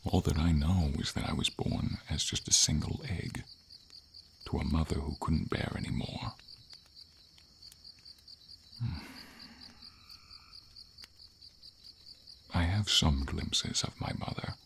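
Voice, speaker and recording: Guy doing a wise friendly dragon, super low rumbly creaky voice, measured and formal, asmr roleplay, faint rain and crickets in the background. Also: heavily acted. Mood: pained, wistful. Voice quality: very deep, gravelly. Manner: slow, measured, formal phrasing with thoughtful pauses. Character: gentle, wise old male dragon, speaking to a knight. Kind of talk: ASMR fantasy roleplay, scripted character acting. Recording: steady hiss of rain and insects behind. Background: light rain and night insects.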